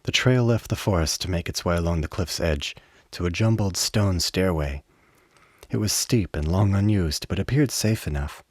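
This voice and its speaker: A man narrating a radio play as a scripted story, in a measured, descriptive manner. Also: deep voice, a little mellow, no room sound, clean studio sound.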